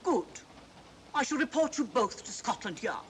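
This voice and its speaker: An actress playing a haughty, indignant older aristocrat, imperious in manner, in an old mystery film. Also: female voice, on the high side, sing-song, normal speed. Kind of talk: 1940s mystery film acting. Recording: hissy old film soundtrack.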